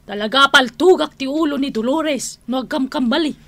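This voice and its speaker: A fairly high female voice, a protective kinswoman carrying grief and indignation. She plays it with the fiery feeling of an Ilocano radio serial. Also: moderate pace, no room sound. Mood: indignant. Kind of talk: Ilocano radio drama acting.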